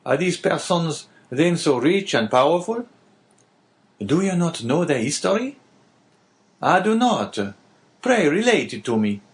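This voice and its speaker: A man voicing a calm, formal priest in an audiobook, with a measured, coaxing way of speaking. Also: a little high, pitched above the performer's own voice. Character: calm, probing older male priest. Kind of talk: audiobook character voice.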